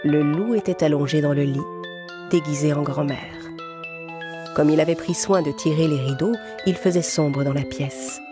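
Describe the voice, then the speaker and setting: A woman tells a children's story in a slightly low voice. She narrates from a script, with music clearly playing behind her. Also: normal speed.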